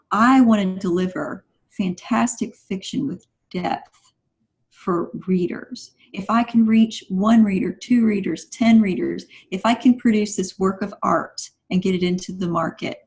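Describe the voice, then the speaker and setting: A woman's voice: mid-range voice, a little mellow. A woman speaking as a podcast guest, giving conversational advice in an earnest, emphatic and encouraging way. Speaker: guest writer.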